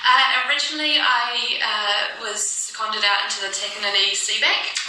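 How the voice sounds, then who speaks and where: A woman's voice: mid-range voice, steady tone, normal speed, sharp tone. A woman with a New Zealand accent, a health service worker, speaking upbeat and chatty in an interview. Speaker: health service staff member. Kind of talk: casual interview. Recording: echoey room, distant mic, noise.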